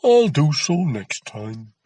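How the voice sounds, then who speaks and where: A man's voice: a little high, sing-song, slow pace, dark tone, dry recording. A man voicing a lazy, simple-minded boy in a storybook, agreeable and unbothered. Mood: compliant. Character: lazy, dim, agreeable young boy Jack.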